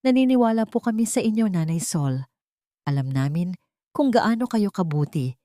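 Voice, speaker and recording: Babaeng gumaganap bilang mahinahong lalaking dating kasera. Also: male voice, high voice, moderate pace, no room sound, clean studio sound. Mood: gentle, earnest. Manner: calm, earnest. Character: gentle, soft-spoken former landlord offering sympathy.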